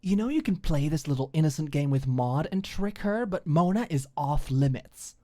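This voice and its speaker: A woman's voice: low-pitched, lively intonation, normal speed, dark tone, voice pushed up. Woman acting an indignant, hot-tempered young woman. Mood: angry. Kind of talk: audiobook character acting.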